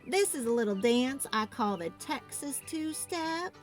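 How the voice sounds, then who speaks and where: A woman's voice: high-pitched, animated, a little slow, soft background music, voice pushed up. A woman voicing a brash, boastful schoolgirl cowgirl with folksy Wild West talk in a children's storybook. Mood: exuberant, showy.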